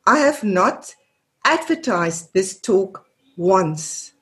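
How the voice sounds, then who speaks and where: A woman's voice: medium pitch, lively intonation, drawn-out words, a bit bright. Earnest South African woman in her fifties. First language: Afrikaans. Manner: earnest, repeats words while searching for them. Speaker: guest, child therapist. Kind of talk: reflective interview answers.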